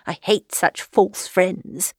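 A woman reading aloud and voicing a fretful, excitable middle-aged mother who complains in shrill, rapid outbursts. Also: breathy edge, no room sound, heavily acted. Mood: indignant. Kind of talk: audiobook reading.

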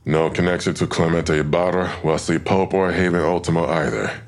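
Very deep, gravelly male detective. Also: a little fast. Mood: terse, serious. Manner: terse, matter-of-fact. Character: gruff, matter-of-fact male police detective. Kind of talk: full-cast audio drama acting.

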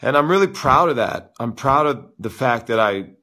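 Booming-voiced male tennis coach ranting. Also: moderate pace. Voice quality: big booming voice. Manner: animated ranting, repeats words, fillers like "you know". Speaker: tennis coach, show host. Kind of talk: live-stream chat with viewers.

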